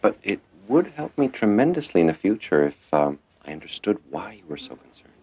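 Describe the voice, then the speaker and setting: An actor playing a calm, patient male psychiatrist in a television drama, speaking gently and a little hesitantly as he probes. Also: deep voice, fairly quick, husky, heavily acted. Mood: reassuring. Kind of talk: naturalistic television drama dialogue.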